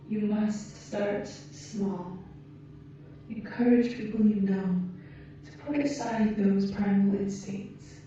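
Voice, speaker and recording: A woman playing a gentle, wise alien rescuer in an ASMR roleplay, speaking softly and earnestly in measured, slightly formal phrases. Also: mid-range voice. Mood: solemn, patient. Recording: muffled, very echoey, distant, faint background noise.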